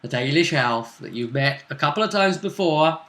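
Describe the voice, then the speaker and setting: A man's voice: a little high, animated, moderate pace, pushed and bright, reverberant. A man narrating and discussing a tabletop fantasy game as the game master, in an improvised, conversational way.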